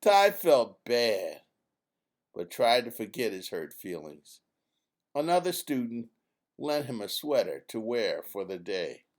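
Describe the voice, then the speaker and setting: A man reading his own children's book aloud as an expressive, emphatic storyteller. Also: medium pitch, slow.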